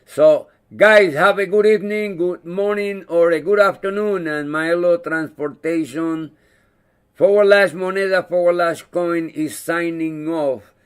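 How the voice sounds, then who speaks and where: A man whose first language is not English, hosting a casual live chat, talking in a halting way and searching for words. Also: fairly high pitch, clear, resonant tone. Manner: friendly, thanks viewers by name. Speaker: livestream host.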